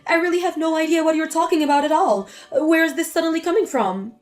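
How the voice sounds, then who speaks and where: A woman's voice: very high-pitched, fast talker, soft background music. A woman voicing a spiteful, scolding older mother-in-law in a scripted text-story drama. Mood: defensive. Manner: scolding, contemptuous.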